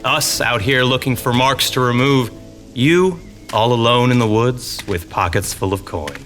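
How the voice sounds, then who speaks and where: A man's voice: medium pitch, measured pace, a bit bright, soft background music, natural voice. A man playing a smug, menacing bandit boss with mocking courtesy in a fantasy audio drama. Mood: mocking menace. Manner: mocking, theatrically courteous, chuckling.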